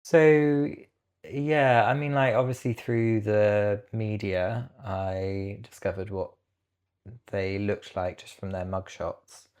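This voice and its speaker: A man's voice: lowish voice, steady tone, slow pace, darkish tone, clear, resonant tone. A British man in his thirties speaking as an interview guest, recounting personal experiences in a reflective, hesitant way.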